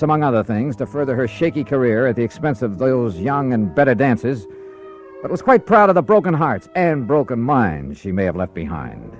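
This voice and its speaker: An actor playing a tough, wry but decent American insurance investigator, acting and narrating in a vintage radio drama. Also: male voice, medium pitch, lightly acted. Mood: wry narration. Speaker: lead and narrator.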